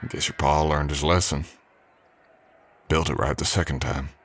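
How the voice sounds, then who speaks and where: A man acting an Old West drifter cowboy with an extremely deep voice. He works as a ranch hand and speaks in an unhurried, folksy drawl. His manner is modest and courteous, in the intimate style of an ASMR roleplay addressed to the listener. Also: level tone, breathy edge. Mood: wistful, reflective.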